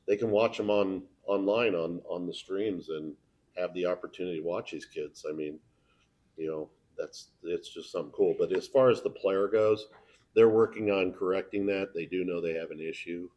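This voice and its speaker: An American man with a low, mellow voice gives an informal talk about his broadcasting work. He speaks plainly and somewhat haltingly, in a relaxed tone. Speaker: local sports broadcaster and video producer. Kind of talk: informal talk answering questions.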